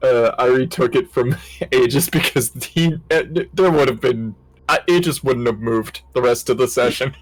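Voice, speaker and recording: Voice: mid-range voice, normal speed, no echo, low-quality recording. A player in an online tabletop game bantering in a teasing, mock-exasperated way, with casual swearing.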